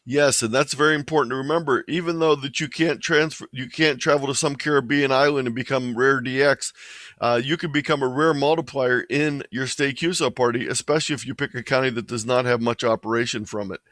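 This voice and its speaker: A man's voice: mid-range voice, fairly even intonation, brisk, fairly bright tone, no echo, studio quality. A man explaining things informally as a webinar presenter. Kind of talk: informal instructional webinar talk. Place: indoors, online webinar.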